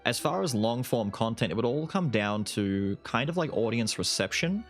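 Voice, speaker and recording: A man's voice: mid-range voice, lively intonation, moderate pace. A young Australian man answering viewer questions in a casual, rambling, self-deprecating way. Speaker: YouTube gaming creator answering viewer questions. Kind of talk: casual Q&A chat. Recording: voice over faint background music.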